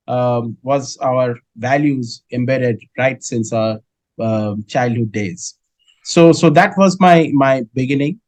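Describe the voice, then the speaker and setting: A middle-aged man from Kolkata with an Indian English accent, answering interview questions in a measured way with many filler sounds. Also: mid-range voice, a little mellow, dry recording. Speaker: guest, dairy business head.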